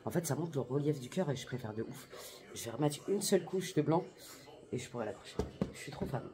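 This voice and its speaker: A woman's voice: rumbling low, expressive, fast, warm tone. A young woman vlogging in a casual, slangy, exclamatory way, chatting straight to her viewers. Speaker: lifestyle vlogger. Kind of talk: casual vlog chat.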